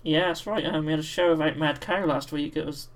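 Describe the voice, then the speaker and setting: A British man with a croaky voice hosting a livestream, chatting casually and reading out viewer messages while painting miniatures. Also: a little high, fairly quick. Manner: relaxed, reads out chat messages. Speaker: livestream host.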